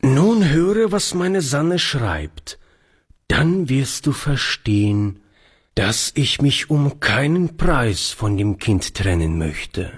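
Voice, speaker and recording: A man voicing a kindly old grandfather who speaks in an earnest, gentle, tremulous way. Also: mid-range voice, big pitch swings, slow pace, breathy edge, voice pushed up, heavily acted. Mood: proud.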